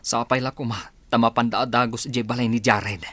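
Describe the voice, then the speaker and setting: A man's voice: medium pitch, steady tone, a little fast, a bit bright, no room sound, natural voice. A man playing a helpful, respectful young villager in a comedy radio drama. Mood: earnest, hopeful.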